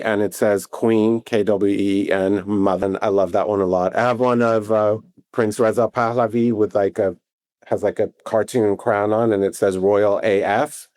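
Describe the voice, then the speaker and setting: A man, a podcast guest, speaking in a lively, earnest way and urging listeners to act. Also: on the low side, no room sound. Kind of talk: podcast interview.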